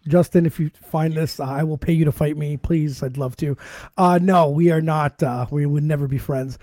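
A man's voice: on the high side, normal speed, no echo, studio quality. A man co-hosting a casual podcast, joking around and rambling through his thoughts. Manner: joking, rambling, animated asides. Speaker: podcast co-host.

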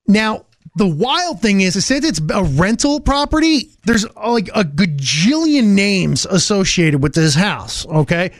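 Animated high-voiced male radio co-host. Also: a little slow, hardly any pauses, no room sound, studio quality. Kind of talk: lively morning radio banter. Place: radio studio.

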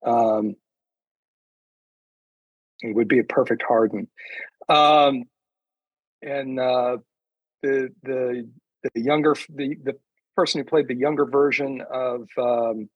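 A man speaking as an author guest in a casual chat, rambling haltingly as he searches for words. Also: medium pitch, husky, no room sound, lo-fi sound.

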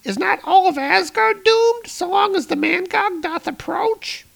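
A man voicing an anxious, deferential royal advisor in a comic-book story, in a squeaky falsetto. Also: unhurried, vocal fry, fairly bright tone, heavily acted. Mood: anxious pleading.

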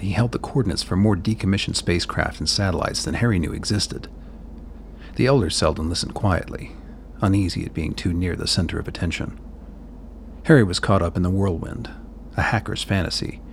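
A man's voice: low-pitched, creaky, slight background noise. A man narrating a scripted radio play as its storyteller.

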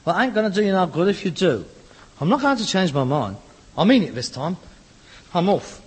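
Exasperated, husky London son, swooping pitch. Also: male voice, sing-song, brisk, exaggerated voice. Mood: defiant. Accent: London working-class. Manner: exasperated, grandiose, sarcastic. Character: frustrated, pretentious rag-and-bone man son, about forty. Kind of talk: scripted sitcom acting. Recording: reverberant hall, old sitcom recording.

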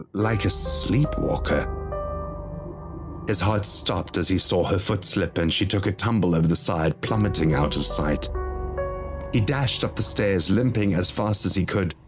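A man reading a scripted horror story as its narrator. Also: deep voice.

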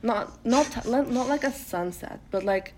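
A young woman from Turkey, a native Turkish speaker, talking casually as a podcast guest, often pausing and restarting her sentences. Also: a little high, animated, a little hiss or hum. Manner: hesitant, many fillers and self-restarts. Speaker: guest poet.

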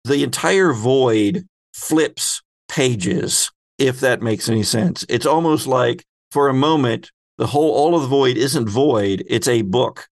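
A man narrating a tabletop game as its game master, describing scenes in a deliberate way. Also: medium pitch, dry recording.

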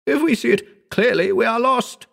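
A man voicing a hysterical, terrified man who gibbers and sobs in a shrill, quavering voice. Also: moderate pace. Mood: gibbering. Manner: gibbering, frantic.

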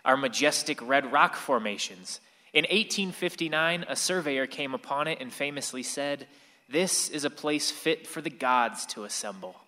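A man's voice: medium pitch, expressive, moderate pace, pushed and bright. An actor playing an angst-ridden sixteen-year-old boy who narrates a radio play in a reflective way. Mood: storytelling. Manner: reflective, storytelling to the listener. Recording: reverberant hall.

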